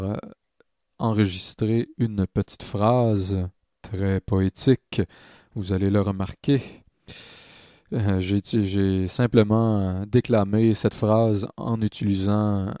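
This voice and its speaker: A man with a Quebec French accent giving an informal software tutorial as a music production tutor, pausing hesitantly as he explains. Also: lowish voice, dry recording, studio quality.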